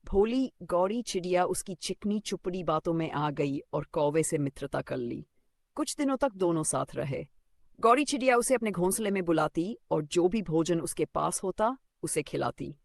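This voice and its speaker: Creaky-voiced children's story narrator. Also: medium pitch, animated, normal speed, dry recording.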